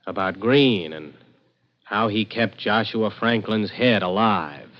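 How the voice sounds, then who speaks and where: An actor playing a dazed, disbelieving man in an old radio suspense play, speaking slowly and haltingly. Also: fairly low pitch, lo-fi sound. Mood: bewildered. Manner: slow, halting, stunned. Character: dazed, disbelieving man kept alive as a severed head. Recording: echoing, band-limited old radio recording.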